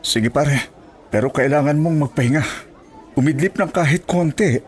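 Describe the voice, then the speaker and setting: Man acting a high-pitched, worried Filipino friend. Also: moderate pace, lightly acted. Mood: concerned. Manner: concerned, urging. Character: worried, caring male friend of the hunter. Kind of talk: horror audio story acting. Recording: faint music behind the voice.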